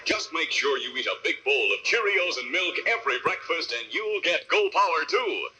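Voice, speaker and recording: A man's voice: on the high side, very animated, normal speed, sharp tone, husky, soft background music, rough recording. A man announcing in emphatic vintage radio commercial style. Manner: emphatic sales delivery.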